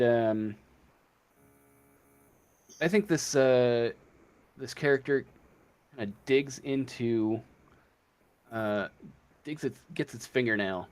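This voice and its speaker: A man acts as game master in a casual tabletop session. He speaks slowly at a middling pitch, making up the narration as he goes with a hesitant, halting delivery. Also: clean tone.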